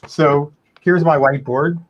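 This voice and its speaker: A man with a somewhat high-pitched voice explains technical work. He is casual and methodical, going step by step and restating himself as he goes. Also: steady tone, a little slow, lo-fi sound, slight background noise. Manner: step-by-step, self-correcting. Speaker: software developer demonstrating work.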